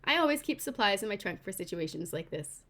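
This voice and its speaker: A woman acts out a seventy-year-old neighbor lady from a children's storybook. She is calm and practical, explaining things matter-of-factly, with lively rises and falls in pitch. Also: fairly quick.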